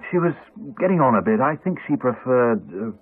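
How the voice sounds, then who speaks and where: An actor playing an awkward, evasive, polite house agent with an English accent, hesitant and apologetic, in a radio drama. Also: male voice, mid-range voice, expressive, normal speed, husky. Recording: dull, band-limited 1980s radio recording.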